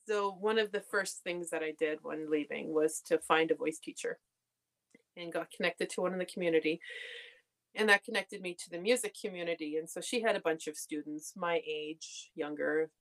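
A young adult woman from Canada, telling her story candidly in a relaxed conversation. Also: low-pitched. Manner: candid storytelling, laughs often. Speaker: podcast guest. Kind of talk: casual conversation.

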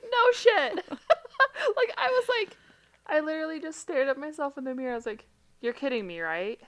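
A woman's voice: high-pitched, animated, normal speed. A woman who is a licensed therapist and a podcast co-host, talking in a blunt, casual way with swearing and frequent laughter. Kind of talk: casual chat.

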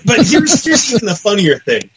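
A man with a slightly high voice chats casually as a podcast co-host. His delivery swings widely in pitch and rambles with a fan's enthusiasm and the odd mild curse. There is faint noise in the background. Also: normal speed, low-quality recording. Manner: animated, rambling, mildly profane fan commentary. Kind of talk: casual pop-culture chat.

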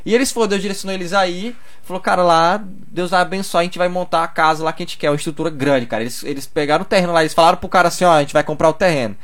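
Fast-talking young man. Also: a little high. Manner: slangy, loose storytelling peppered with "cara". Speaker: podcast guest. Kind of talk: casual chat.

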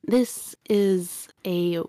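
A woman hosting a quirky podcast, bantering playfully with a drawn-out, teasing delivery. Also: medium pitch, warm tone, no room sound. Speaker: podcast host.

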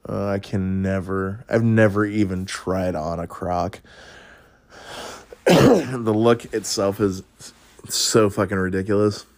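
A man's voice: fairly low pitch, slow, a little mellow, dry recording. A man hosting a podcast, chatting casually through would-you-rather questions, rambling and swearing with frequent laughs. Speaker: podcast host.

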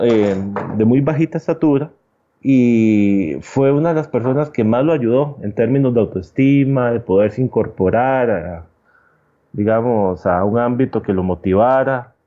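A man's voice: mid-range voice, warm tone. Guy chatting about sports, thoughtful, stop and start, kinda dull lo-fi sound. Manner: reflective, halting, often seeks agreement with tags. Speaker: guest commentator. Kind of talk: conversational sports storytelling.

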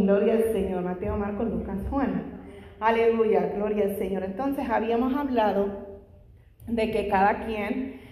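A woman preaching a Bible study in Spanish, her second language, sprinkling in frequent hallelujahs and praises, fervent in prayer. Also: mid-range voice. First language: not Spanish. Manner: frequent "aleluya" and "gloria a Dios" interjections; fervent prayer, louder and faster. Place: large echoey church hall. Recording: echoey hall with long reverb.

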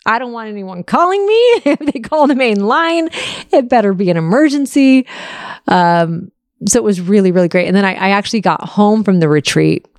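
A woman's voice: mid-range voice, animated, normal speed, dry recording, studio quality. A woman in her early forties talking candidly and casually to her listeners, in an open, confessional and reflective way. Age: early 40s. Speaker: podcast host answering listener questions.

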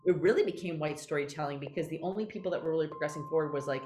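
A woman's voice: sits low, very fast, reverberant, a little hiss or hum. A woman playwright being interviewed, talking candidly and animatedly and restarting her sentences often. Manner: animated, acts out quoted voices, many restarts. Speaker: playwright guest.

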